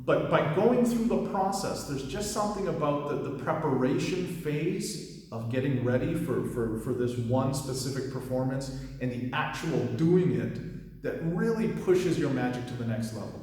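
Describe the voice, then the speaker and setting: A man's voice: medium pitch, darkish tone. A man in his thirties giving casual commentary as a magic coach, rambling, with frequent fillers and restarts. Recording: very echoey room with long reverb.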